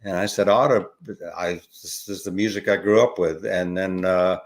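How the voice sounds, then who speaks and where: An older man talking as a podcast guest, rambling and halting with many fillers and restarts. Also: sits low, fairly even intonation. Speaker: podcast guest, ukulele educator. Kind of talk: relaxed conversational interview.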